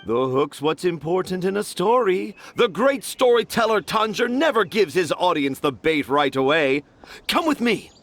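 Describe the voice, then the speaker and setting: An eccentric, self-important male storyteller from a video game. His voice sits fairly high and rises and falls dramatically. Every phrase is declaimed with pompous, flowery grandeur. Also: very animated, moderate pace, a bit bright, a little hiss or hum, full character voice. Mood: grand, mysterious.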